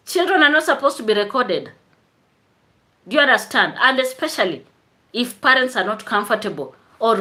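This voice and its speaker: Lady on a youtube live, chatty and lively, bright sharp voice. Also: fairly high pitch. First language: Swahili. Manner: animated, greets viewers by name, frequent laughs. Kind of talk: casual live stream chat.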